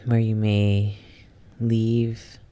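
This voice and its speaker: A soft-spoken meditation guide leading an ASMR yoga nidra session in a soothing, unhurried manner with long pauses. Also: mid-range voice, completely flat delivery.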